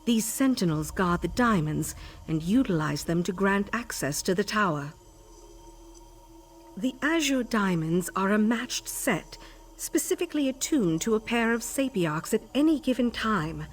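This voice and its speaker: A woman's voice: mid-range voice, expressive, a little slow, close to an everyday voice. An actress playing a stern, scholarly female elven sage in a video game, speaking formally and imperiously. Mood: formal, explanatory. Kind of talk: video game character dialogue.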